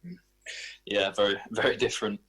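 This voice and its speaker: A man's voice: lowish voice, lively intonation, a little fast, fairly bright tone, husky. A man in his twenties, a footballer, answering questions in a casual radio interview. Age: 20s.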